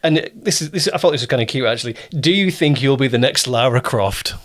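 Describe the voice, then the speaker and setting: Male interviewer, mid-pitched voice. Casual, talkative producer type who wanders through his questions and readily agrees. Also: lively intonation, a little fast. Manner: chatty, rambling, affirming.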